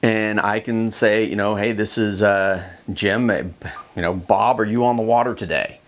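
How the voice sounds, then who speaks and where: A middle-aged man with an American accent gives informal, conversational instruction, unscripted and with hesitant pauses. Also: lowish voice, steady tone, slight background noise. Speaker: fishing show host and instructor.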